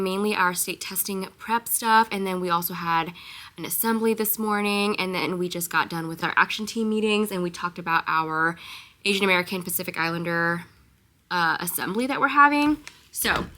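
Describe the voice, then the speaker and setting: A woman's voice: mid-range voice, sharp tone, slight background noise. A young woman, a teacher, chatting casually to her phone camera with a stuffed-up nose, filling her talk with "like". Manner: chatty, many "like"s, talks to camera. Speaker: teacher and vlogger. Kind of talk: casual vlog chat.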